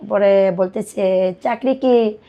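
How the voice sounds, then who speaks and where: A woman's voice: medium pitch, slow pace, lo-fi sound. A young Bangladeshi woman in her twenties, a podcast guest telling her own life story in conversation and retelling what people said to her. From Dinajpur, Bangladesh. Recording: music under the voice. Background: background music.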